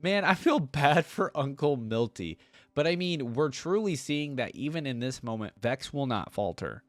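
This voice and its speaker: A man's voice: mid-range voice, animated, normal speed, dry recording, faint music behind. A man hosting a reaction video, reading a story aloud and adding casual commentary.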